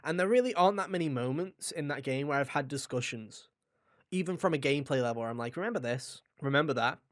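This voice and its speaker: A man's voice: a little high, expressive, fast talker. A man co-hosting a gaming podcast, chatting casually and enthusiastically in a joking, sweary way. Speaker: podcast host and game reviewer.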